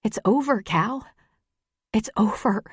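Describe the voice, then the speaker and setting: A female narrator plays an earnest woman lawyer in love, her voice lifted higher and openly emotional. It is a candid audiobook character in a dry recording. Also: moderate pace, darkish tone, no echo, lightly acted. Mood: tearful, shaken.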